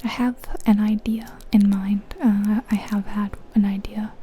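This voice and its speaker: A woman whispering softly and breathily in a casual, chatty ASMR style as she comments on a game, gentle and musing. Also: mid-range voice, little pitch movement, a little slow, slightly rough. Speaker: ASMR channel host.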